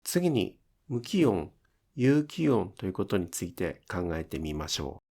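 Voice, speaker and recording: A man's voice: sits low, very animated, a little slow, warm tone, slightly rough. A man giving scripted instructional narration as a pronunciation teacher, enunciating carefully step by step.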